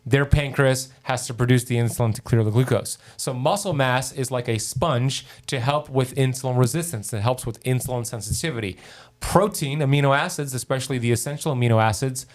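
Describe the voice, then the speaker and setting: A man's voice: mid-range voice, fairly quick. A man talking as a podcast guest, explaining health ideas in a keen, teacherly way with examples. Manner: enthusiastic, teacherly, gives examples. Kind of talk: explanatory interview talk.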